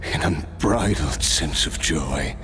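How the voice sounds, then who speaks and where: Game role: grave cursed immortal warrior, bottomless bass, unhurried, theatrical, weary and reverent. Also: male voice, fairly bright tone, soft background music, exaggerated voice. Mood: solemn, wistful. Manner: slow, solemn, theatrical delivery. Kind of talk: dramatic video game acting.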